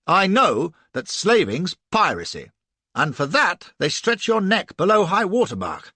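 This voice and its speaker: A man doing a panicked young officer, pitched high. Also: huge pitch swings, a little slow, pushed and bright, slightly rough, dry recording, exaggerated voice. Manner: frantic, pleading and blustering. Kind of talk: audiobook character voice.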